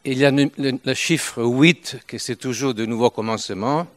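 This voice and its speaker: A man's voice: medium pitch, no echo. Older Italian man preaching in French. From Italy. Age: 70s. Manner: halting, with frequent restarts and pauses. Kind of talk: informal sermon.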